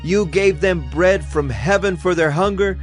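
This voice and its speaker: Man playing a high-voiced, devout praying Levite. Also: measured pace. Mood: reverent, exalting. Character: devout Levite exalting God in solemn public prayer. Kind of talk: dramatized scripture reading, declamatory prayer. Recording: music bed under the voice.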